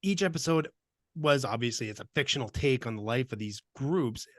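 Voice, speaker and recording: A man's voice: medium pitch, lively intonation, fairly quick, dry recording. A man in his fifties from Vermont, co-hosting a casual podcast with a jokey, chuckling manner. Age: 50s. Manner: jokey, chuckles often between points. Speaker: podcast co-host.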